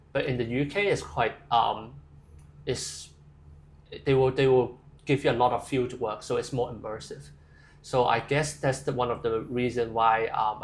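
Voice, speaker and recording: A young man from Hong Kong, answering interview questions casually and thoughtfully, with frequent fillers and restarts. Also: medium pitch. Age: young adult. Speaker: interview guest.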